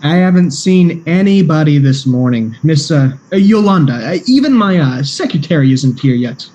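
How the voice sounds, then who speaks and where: A man acts out a bumbling, eager private eye in a comic radio play. His pitch swoops up and down widely, and he stammers and hesitates as he tries to sound like a seasoned investigator. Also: animated, moderate pace, dark tone, natural voice. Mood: harried. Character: bumbling, eager male private detective, war veteran.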